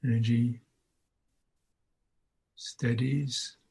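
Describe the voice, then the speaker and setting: A man guides a meditation in a mid-pitched, slightly husky voice. He speaks very slowly and contemplatively, setting out short phrases with long pauses between them, as a teacher finding the words in the moment. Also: little pitch movement, dark tone. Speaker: Buddhist monk, meditation teacher. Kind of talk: unscripted guided meditation talk.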